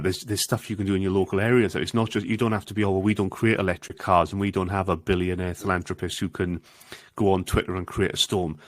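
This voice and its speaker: Deep-voiced Swansea man, dry and close. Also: dry recording. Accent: Welsh, Swansea. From Wales. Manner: chatty, many restarts, acts out quoted voices. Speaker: podcast guest. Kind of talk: casual interview chat.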